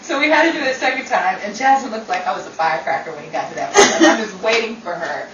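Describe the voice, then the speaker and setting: A woman performer talking in an animated, expressive way. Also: mid-range voice, fast, dull audio. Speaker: radio drama performer and announcer. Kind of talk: announcing sign-off and casual backstage chat. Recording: echoey room, far mic, noisy, voices behind.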